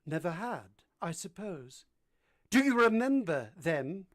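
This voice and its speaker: A bossy blunt english gentleman in an old time radio play, voice swoops up and down a lot. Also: high voice, big pitch swings, slow, heavily acted. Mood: stern, probing. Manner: imperious, blunt, questioning. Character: brusque, commanding middle-aged English gentleman, master of the house. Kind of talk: vintage radio drama acting.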